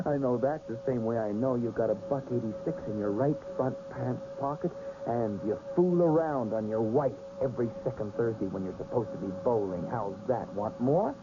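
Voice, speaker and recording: An actor playing a smug, unnerving fortune teller with a taunting, gleeful manner, in 1980s radio drama style. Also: male voice, mid-range voice, moderate pace, music in the background, close to an everyday voice. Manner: smug, taunting, swinging from hushed to excited. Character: cocky, unnerving male fortune teller, gleefully all-knowing. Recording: dull, noisy old radio broadcast recording.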